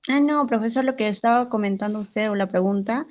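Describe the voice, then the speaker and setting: A woman's voice: medium pitch, fairly quick. Woman student, careful speech. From Peru.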